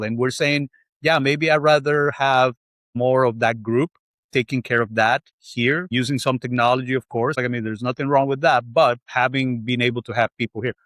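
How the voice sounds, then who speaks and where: A man's voice: mid-range voice, fairly bright tone, no room sound. Middle-aged guy from colombia hosting a podcast, rambly, lots of uh and restarts, dry close sound. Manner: rambling, restarts, many fillers. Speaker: podcast host. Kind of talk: interview conversation.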